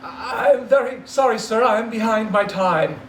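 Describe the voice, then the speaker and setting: A man's voice: high-pitched, a little slow, pitched above the performer's own voice. A man playing a meek male clerk in a storybook reading, nervous, apologetic and deferential. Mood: nervous apology. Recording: roomy echo, distant mic, faint noise.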